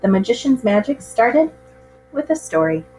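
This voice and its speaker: A woman reading a picture book aloud to children in an expressive, measured storytelling style. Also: a little high, soft background music, poor audio.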